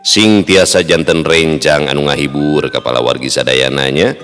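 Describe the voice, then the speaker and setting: A man's voice: extremely low pitch, steady tone, moderate pace, fairly bright tone. A man with a Sundanese accent hosting and narrating folk tales in a casual storyteller style. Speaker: storyteller and host. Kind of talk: folk tale narration and casual host chat. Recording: long hall reverb, music underneath.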